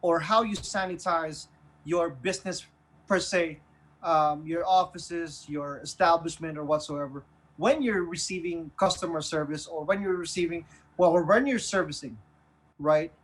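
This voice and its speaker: A man with a Filipino accent casually discussing the news as a radio host, in a halting, earnest way that often repeats phrases. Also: on the high side, fairly bright tone, low-quality recording. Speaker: online radio show host.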